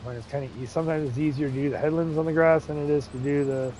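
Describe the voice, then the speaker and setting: A man's voice: on the high side, steady tone, very fast, a little mellow, a little hiss or hum, low-quality recording. A man hosting a casual farming game livestream, chatting and laughing often as he reads chat aloud. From English, Irish, Scottish, Ukrainian and Romanian heritage. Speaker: livestream host.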